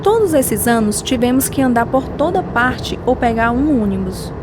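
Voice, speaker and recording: A woman's voice: fairly high pitch, animated, normal speed, noisy background, natural voice. An actress playing a caring, devout middle-aged mother in a radio drama.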